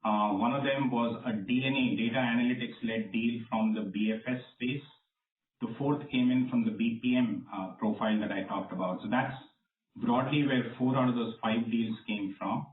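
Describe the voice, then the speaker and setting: A man's voice: mid-range voice, steady tone, normal speed. Echoey, distant man on a conference line. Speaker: company executive answering analysts. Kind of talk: corporate earnings call remarks and answers. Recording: roomy, distant, tinny phone-band conference line.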